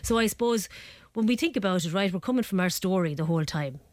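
A woman's voice: medium pitch, expressive, fast, darkish tone, no room sound. A woman guesting as a relationship psychotherapist in a radio studio, talking through ideas earnestly with a slight head cold and lots of "you know" and "right?". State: getting over a head cold.

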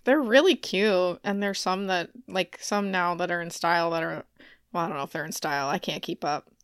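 A woman's voice: on the low side, a little fast, clear, resonant tone, dry recording, studio quality. A woman chatting casually and informally as a podcast co-host, easily amused. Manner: laughs readily. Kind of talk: casual chat.

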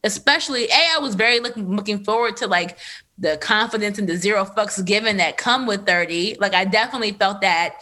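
Bright, animated woman in her thirties. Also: medium pitch, brisk. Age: 30s. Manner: animated, filler-heavy, laughs often. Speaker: podcast host. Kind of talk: candid conversational storytelling. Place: Los Angeles area.

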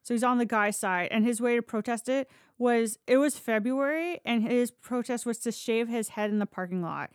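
A woman's voice: on the high side, normal speed, few pauses, clean tone, no echo, clean studio sound. A woman telling stories in a casual, chatty podcast style, informal and blunt, with loose swearing. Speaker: podcast storyteller and host. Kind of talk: casual conversational storytelling.

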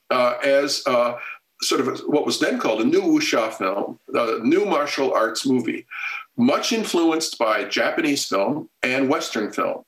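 A man answering interview questions at length in a measured, lecture-like way, with frequent pauses and fillers. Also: medium pitch, fairly bright tone, reverberant, low-quality recording. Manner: measured and expository, many fillers and restarts. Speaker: film scholar guest.

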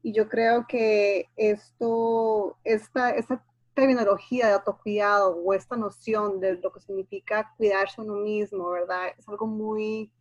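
A woman's voice: mid-range voice, steady tone, a little slow, dry recording, highs cut off. A woman therapist talking in a reflective, conversational way, often checking in with the listener. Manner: warm, reflective, frequently asks ¿verdad?. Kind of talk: casual discussion.

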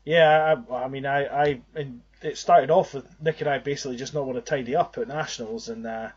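A man's voice: mid-range voice, steady tone, brisk, slightly rough. A young man co-hosting a podcast, talking in an earnest, rambling way. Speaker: podcast co-host. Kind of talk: casual podcast chat. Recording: slightly muffled lo-fi audio.

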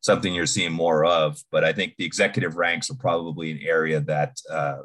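Very low-voiced man in his 40s from Boston, halting podcast guest who often restarts his sentences. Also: little pitch movement, fairly bright tone. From Boston, United States. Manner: halting, restarts and repeats words. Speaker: podcast guest, security executive. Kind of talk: casual interview talk.

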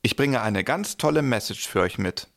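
A man playing an excited, plain-spoken shepherd in a Nativity audio play, telling his tale colloquially. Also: mid-range voice, moderate pace, creaky. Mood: excited, recounting.

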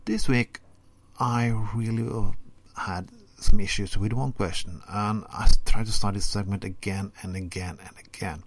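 A man whose first language is Norwegian gives a sarcastic commentary segment in deadpan tones, with halting non-native phrasing. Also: fairly low pitch. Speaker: podcast segment presenter.

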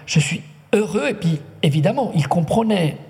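A man whose first language is Italian leads a literary conversation, pausing and restarting as he searches for words. His voice is mellow and soft-edged. The sound carries a long reverberant tail from a hall. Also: high voice, lively intonation, creaky. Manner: thoughtful, halting, many restarts. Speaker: writer and translator moderating a literary talk. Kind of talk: hesitant conversational interview.

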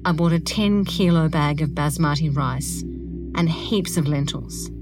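A woman's voice: sits low, unhurried. A woman narrating a scripted audio guide, speaking conversationally and giving the listener direct instructions. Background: music.

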